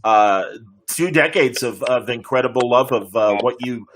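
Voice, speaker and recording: A man's voice: medium pitch, normal speed, a bit bright, a little hiss or hum. Appreciative male panel moderator. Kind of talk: casual panel hosting.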